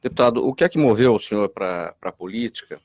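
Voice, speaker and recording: A man's voice: lowish voice, expressive, quick pace, darkish tone, no echo, studio quality. A man hosting a talk show, asking long, winding questions with fillers. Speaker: show host. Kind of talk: interview questions.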